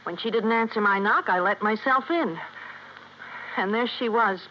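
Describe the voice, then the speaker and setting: A woman's voice: mid-range voice, normal speed, close to an everyday voice. A woman with an American accent playing a brassy young superintendent's wife in an old radio drama, scornful and colloquial. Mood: recounting, guarded. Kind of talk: golden-age American radio drama acting. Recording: muffled old radio recording, faint hiss.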